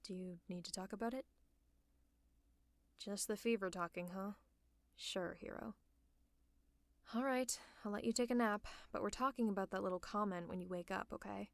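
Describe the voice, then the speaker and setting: A woman performing an audio roleplay, talking softly and teasingly to the listener as if to a friend. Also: medium pitch, vocal fry, no echo. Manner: soft, caring, teasing, often near a whisper. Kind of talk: ASMR roleplay, speaking to the listener.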